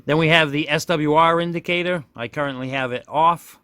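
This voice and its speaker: A man's voice: fairly high pitch, fairly quick, pushed and bright, clear, resonant tone, no room sound, studio quality. A man giving a casual, unscripted hands-on product demonstration, folksy and self-deprecating, often rambling and correcting himself as he goes. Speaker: product reviewer demonstrating a radio.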